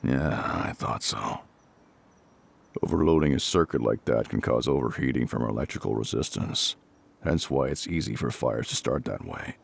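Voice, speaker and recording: Very deep, gravelly male fire captain acted out. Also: full character voice. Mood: matter-of-fact, reassuring. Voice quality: gruff, gravelly, husky. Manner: measured, matter-of-fact, reassuring. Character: gruff, calm, reassuring male fire captain. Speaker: voice actor in audio roleplay. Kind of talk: intimate scripted audio roleplay spoken to the listener.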